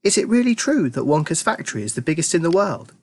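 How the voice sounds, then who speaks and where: A man's voice: a little high, sing-song, fast, slight background noise, pitched above the performer's own voice. A man doing an earnest curious little boy. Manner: earnest, eager questions. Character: polite, curious poor young boy.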